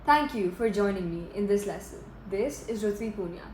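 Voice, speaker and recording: A woman's voice: mid-range voice, animated, very slow, a little mellow. A woman presenting a scripted instructional lesson as a public speaking teacher, explaining terms as she goes. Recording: echoey room with faint noise.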